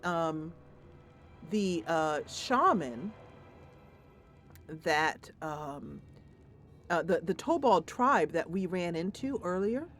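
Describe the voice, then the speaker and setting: A woman doing casual gameplay commentary, thinking aloud playfully and talking to the game's characters. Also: on the low side. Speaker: host of a game playthrough.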